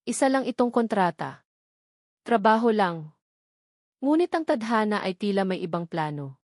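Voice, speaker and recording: A woman's voice: medium pitch, sing-song, moderate pace, a little mellow, dry recording. Filipino woman narrating romantic drama, dry sound. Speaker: story narrator.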